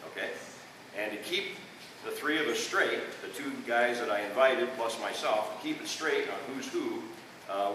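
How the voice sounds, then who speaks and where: A man's voice: medium pitch, recorded from a distance, noisy background. Folksy Midwestern man in echoey room. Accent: Midwestern American. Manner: folksy, checks in with "okay?" often. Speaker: re-enactor and teacher. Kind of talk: classroom history presentation. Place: school room.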